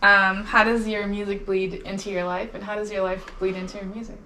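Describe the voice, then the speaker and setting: A woman's voice: mid-range voice, flat delivery, brisk, sharp tone. A woman interviewing a friend at home, friendly and encouraging, with short affirmations. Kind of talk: casual conversational interview. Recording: echoey room with faint noise.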